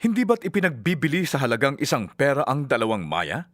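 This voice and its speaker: A man's voice: mid-range voice, very animated, a bit bright, dry recording, clean studio sound. A man playing an earnest male religious teacher solemnly instructing his disciples in a dramatized scripture reading. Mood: earnest, reassuring. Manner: solemn, measured, exhortatory.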